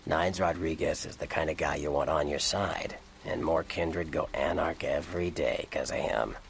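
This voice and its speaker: Game vampire informant, worldly and sardonic, extremely low crackling voice, dry slangy explainer. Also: male voice, fairly even intonation, moderate pace. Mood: wry, knowing. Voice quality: gravelly. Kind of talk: video game character dialogue.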